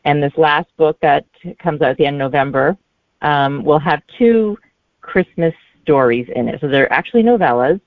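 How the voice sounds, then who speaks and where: Halting low-voiced woman on a tinny line. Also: no room sound. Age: 40s. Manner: halting, hesitant delivery. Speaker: guest author. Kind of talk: casual interview answers. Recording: narrow, tinny phone-like line.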